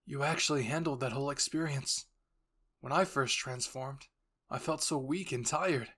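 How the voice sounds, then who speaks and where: A man's voice: medium pitch, normal speed, a bit bright, close to an everyday voice. Man acting an intense, emotional young soldier. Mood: saddened. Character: intense, grieving young male soldier, fiercely protective.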